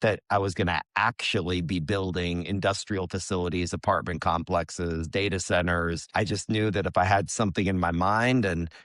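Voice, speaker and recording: Deep-voiced American man, candid talk. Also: steady tone, normal speed, few pauses, a bit bright, no room sound, studio quality. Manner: candid, conversational, occasional swearing. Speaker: podcast guest, real estate investor. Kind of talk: casual interview talk.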